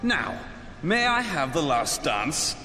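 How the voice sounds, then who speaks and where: Bright, high-voiced gallant swashbuckler. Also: male voice, sing-song, slow, exaggerated voice. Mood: teasing bravado. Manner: theatrical, teasing, courtly. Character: gallant, flirtatious swashbuckling male warrior, wry under fire. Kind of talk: dramatic video game voice acting. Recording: echoey hall, music behind.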